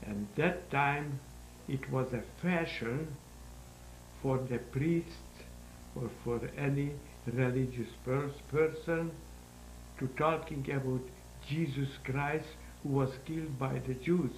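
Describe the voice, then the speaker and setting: A man in his nineties with a Hungarian accent, giving halting, deliberate interview answers about his own life in non-native English. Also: mid-range voice. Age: 90s. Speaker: interview guest, sculptor. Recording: roomy echo, faint background noise.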